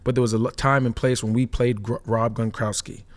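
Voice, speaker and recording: A man's voice: medium pitch, little pitch movement, brisk. Explanatory man breaking down football tactics. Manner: explanatory, often checks with "right?". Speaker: former player turned football analyst. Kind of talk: casual sports analysis.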